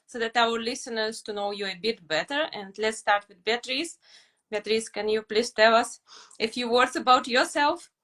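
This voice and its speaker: A woman hosts an interview and puts polite, structured questions to her guests. Her voice is a little high and has a bright, forward tone. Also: normal speed. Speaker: interview host. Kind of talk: hosted interview.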